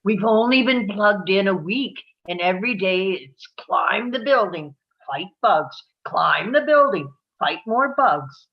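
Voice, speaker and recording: A woman playing a frazzled, battle-weary space marine from a shooter game, grim and clipped, in an animated film table read. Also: medium pitch, unhurried, muffled recording.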